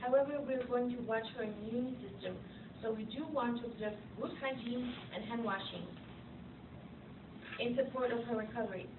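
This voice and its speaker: A woman playing a patient, reassuring nurse in an educational puppet show, speaking in a formal, deliberate, instructive way. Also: a little high, close to an everyday voice. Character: patient, reassuring nurse explaining illness to a family. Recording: tinny, roomy, distant, noisy amateur recording.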